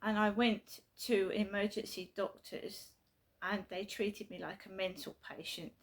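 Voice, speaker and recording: Gravelly middle-aged woman. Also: medium pitch, level tone, measured pace. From South London, England. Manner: repetitive, emphatic, earnest storytelling. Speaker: vlogger. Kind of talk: casual personal vlog. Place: her flat at night.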